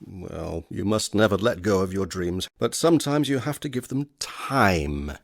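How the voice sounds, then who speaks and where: A man playing a paternal, authoritative older Victorian gentleman with a creaky voice, in stage drama style. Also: deep voice, expressive, measured pace, dry recording, clean studio sound, heavily acted. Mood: encouraging, fatherly.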